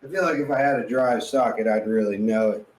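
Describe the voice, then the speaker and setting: A man's voice: medium pitch, brisk. A man with a mid-range voice talking casually as a podcast co-host. He is blunt and opinionated, speaking in a loose, informal conversational style.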